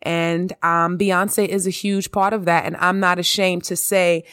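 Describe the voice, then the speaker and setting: Emphatic podcast host, dry close mic. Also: female voice, lowish voice, measured pace, clean tone, dry recording. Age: adult, married. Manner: expressive, emphatic with repetition. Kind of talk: casual monologue.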